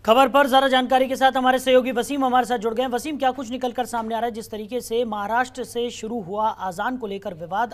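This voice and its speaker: A woman news anchor, putting questions to a reporter in a live news exchange. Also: fairly high pitch.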